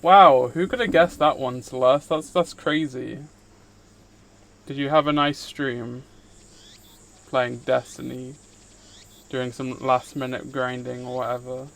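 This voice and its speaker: Man, livestream host, medium-pitched voice, visual novel read-aloud, informal asides to chat. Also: steady tone, slow, gravelly, pauses often, a bit bright. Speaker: streamer, VTuber host. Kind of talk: live stream, reading visual novel text aloud and chatting to viewers.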